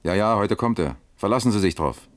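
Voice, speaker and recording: Deep-voiced, commanding detective played by a man. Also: animated, a little fast, no echo, lightly acted. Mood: brisk, commanding. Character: calm, commanding male East German police detective. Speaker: actor in a crime radio drama. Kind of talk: mid-century German crime radio play acting.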